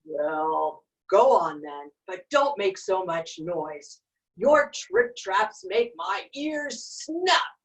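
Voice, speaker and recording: A woman voicing a mean, grumpy old troll who yells from under a bridge in a storybook tale. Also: big pitch swings, very slow, recorded from a distance, full character voice, low-quality recording. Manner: yelling, booming.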